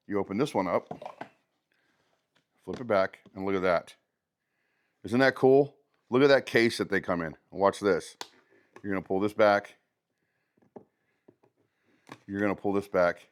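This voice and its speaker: A man talking casually and conversationally as a product reviewer, showing items step by step in an unboxing video. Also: fairly low pitch.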